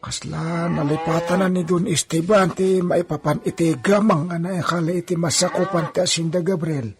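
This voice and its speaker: A man's voice: a little high, steady tone, moderate pace, a crowd in the background, lightly acted. An actor playing a bossy, scornful old hacienda foreman in an Ilocano radio drama. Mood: scornful, scheming.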